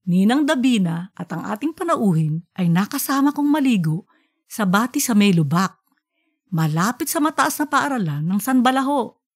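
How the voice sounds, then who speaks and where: A woman voicing a giddy female school principal giving a formal, rambling speech in an audiobook story. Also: medium pitch. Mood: reminiscing.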